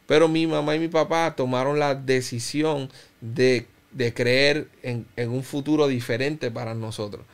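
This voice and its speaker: A Cuban-born man in his thirties speaks slowly, pausing often and restarting his phrases. His voice is smooth and clear, at a middle pitch, with a light Cuban Spanish accent. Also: steady tone. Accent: Cuban Spanish, softened by Puerto Rico. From Cuba. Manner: reflective, halting, many restarts and fillers. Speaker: interview guest. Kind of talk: personal testimony in conversation.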